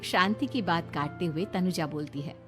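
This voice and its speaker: A woman narrating an animated moral story in an expressive storytelling style. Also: on the low side, normal speed, faint music behind.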